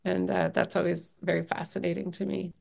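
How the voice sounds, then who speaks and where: A middle-aged woman whose first language is French, speaking as a guest researcher in an interview, thoughtful with frequent pauses and restarts. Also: lowish voice, flat delivery, gravelly, a little mellow. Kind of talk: academic interview conversation.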